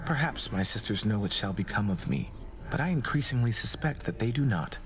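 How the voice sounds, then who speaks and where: An actor playing a courtly, melancholy male god of doom in a video game, speaking formally and with gentle devotion. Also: on the low side, animated, a little fast, lightly acted. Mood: tender, devoted. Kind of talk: scripted video game romance dialogue.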